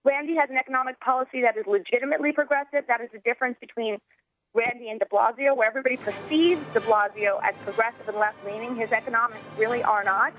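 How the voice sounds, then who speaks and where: A young American woman giving earnest political talk as an activist guest, halting and restarting as she goes. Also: fairly high pitch, a bit bright, no room sound, faint music behind. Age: young adult. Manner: rambling, halting with false starts. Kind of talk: earnest political talk, partly reading out a platform. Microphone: telephone. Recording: narrow tinny phone line.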